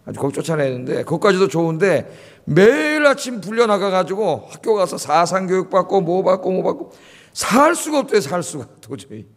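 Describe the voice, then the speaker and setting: A man's voice: high voice, sing-song, moderate pace. A man in his fifties from Seoul, preaching a sermon to a church congregation in a chatty way, often asking them questions. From Seoul, South Korea. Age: 50s. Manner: conversational, asks the congregation questions. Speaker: pastor. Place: church sanctuary. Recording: long hall reverb.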